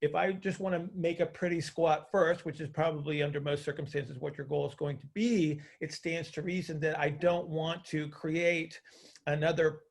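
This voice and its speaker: Gravelly, higher-pitched man coaching a call. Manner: didactic, checks understanding with questions, repeats phrases for emphasis. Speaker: coach hosting a group call, teaching. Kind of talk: conversational coaching on a conference call.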